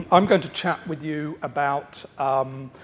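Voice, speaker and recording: A man with a slightly croaky voice giving a chatty, self-deprecating conference keynote, full of ums and repeated words. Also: on the high side, slow. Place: conference stage.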